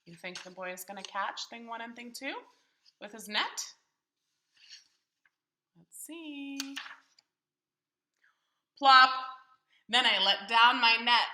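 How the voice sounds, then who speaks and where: A woman reads a picture book to young children with theatrical expression. Her voice is high, very bright and animated, and the room sounds echoey. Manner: theatrical, pauses to question young listeners. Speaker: children's storybook reader.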